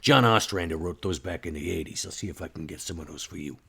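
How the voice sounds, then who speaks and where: A very low, husky male vampire in a tabletop game, acted by a man. He is casual and protective, handing out easygoing fatherly advice like an older comic fan. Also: fast, a bit bright, heavily acted. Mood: friendly advising.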